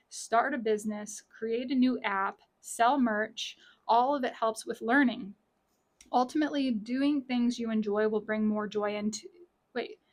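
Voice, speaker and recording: A woman with a raspy, scratchy voice giving a casual tarot reading from her small home office, chatty and repeating key lines. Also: fairly high pitch. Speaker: tarot reader.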